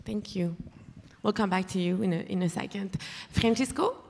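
A woman moderating a conference panel, briskly steering questions to the speakers. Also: medium pitch, creaky, a little mellow, a little hiss or hum. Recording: long hall reverb.

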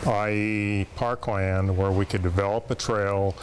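An older man, a former ski coach, telling his story slowly and deliberately in an interview clip. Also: lowish voice, fairly even intonation. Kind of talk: interview soundbite.

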